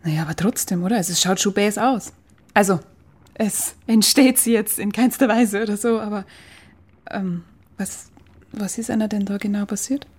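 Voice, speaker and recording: A woman's voice: medium pitch, normal speed, a little mellow, breathy edge, no echo, lightly acted. An actress playing a curious, smitten young woman photographer in a radio play, chatty and colloquial with a Bavarian accent. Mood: curious, shy. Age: young adult. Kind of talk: radio play narration and dialogue.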